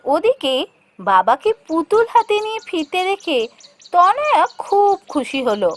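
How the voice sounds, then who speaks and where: A woman's voice: very high-pitched, sing-song, measured pace. A woman narrating a scripted fairy tale as a storyteller for a cartoon. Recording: clear music behind the voice.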